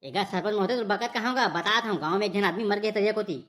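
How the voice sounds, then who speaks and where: A woman's voice: mid-range voice, quick pace, fairly bright tone, lightly acted. A woman voicing jittery, wisecracking young village men in a Chhattisgarhi comedy cartoon, rustic, whining and argumentative. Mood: exasperated.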